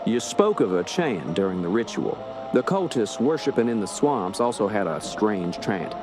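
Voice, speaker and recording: An actor playing a steady, authoritative police inspector, recounting a grim case in a radio drama. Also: male voice, lowish voice, normal speed, background noise. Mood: composed, authoritative. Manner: composed, authoritative. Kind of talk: radio drama narration and dialogue. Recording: music under the voice.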